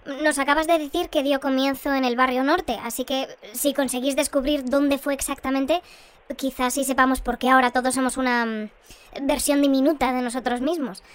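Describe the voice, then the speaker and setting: A woman playing a composed, matter-of-fact radio host shrunk to half size, in a scripted radio drama, with a pitched-up voice. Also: steady tone, a little fast. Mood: curious. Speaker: radio presenter. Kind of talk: scripted fictional radio bulletin and interview.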